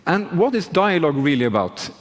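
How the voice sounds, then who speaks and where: A man's voice: fairly high pitch, sing-song, brisk, big-room sound. A man asking a question emphatically.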